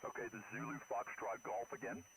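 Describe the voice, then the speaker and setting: A man's voice: mid-range voice, fairly even intonation, brisk, fairly bright tone. A man making clipped contest exchanges as an amateur operator, heard over shortwave radio. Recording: faint, noisy ham radio signal.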